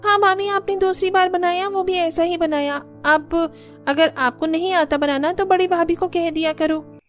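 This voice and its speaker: A woman's voice: extremely high pitch, steady tone, normal speed, faint music behind. A woman voicing an outspoken young sister-in-law, a student, in a cartoon story, pert and critical. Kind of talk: Hindi cartoon story dubbing.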